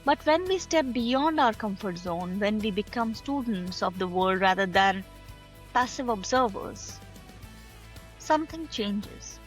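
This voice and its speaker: A woman hosting a podcast, giving an earnest, measured introduction and then asking her guest questions. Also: mid-range voice, lively intonation, soft background music. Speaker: podcast host. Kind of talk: podcast interview.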